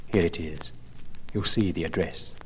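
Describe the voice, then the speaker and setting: A man's voice: low voice, measured pace. Man playing moody, polished young Dorian Gray. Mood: cold, menacing. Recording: old LP, long reverb, faint noise.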